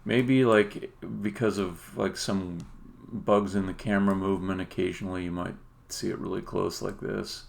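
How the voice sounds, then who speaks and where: A man giving a casual live-stream demo as a game developer, halting and muttering to himself as he works. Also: sits low, fairly even intonation, creaky. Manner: hesitant, halting, muttering to himself while working. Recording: noisy room, keyboard and mouse clicks.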